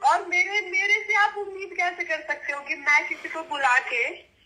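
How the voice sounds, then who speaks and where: Woman arguing on a phone recording, really shrill high voice, defensive and insistent. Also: steady tone, measured pace, pushed and bright. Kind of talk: argumentative phone conversation. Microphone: telephone. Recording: thin recorded phone call.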